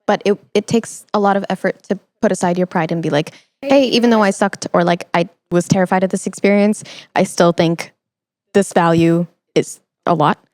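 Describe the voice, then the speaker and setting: A woman's voice: fairly low pitch, fairly even intonation, moderate pace, darkish tone, no room sound. A young woman hosting a podcast, chatting casually with an encouraging, affirming manner. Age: young adult. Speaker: podcast host. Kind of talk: casual interview chat.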